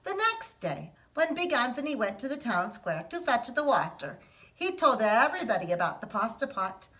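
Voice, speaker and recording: A woman reads a children's picture book aloud in a put-on Italian accent. Her telling is lively and expressive. The voice sits a little high, with a bright, crisp edge. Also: moderate pace.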